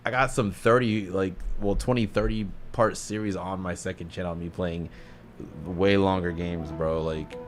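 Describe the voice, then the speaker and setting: A man's voice: deep voice, fairly even intonation, normal speed. A young man giving casual, blunt game reaction commentary with slang and swearing. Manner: slangy, blunt, profane, says bro often. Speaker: gaming reaction video creator.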